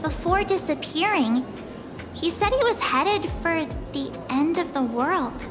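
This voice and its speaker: A woman's voice: very high-pitched, expressive, unhurried, music in the background. An actress playing an earnest, curious young female adventurer in a video game. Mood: earnest, puzzled.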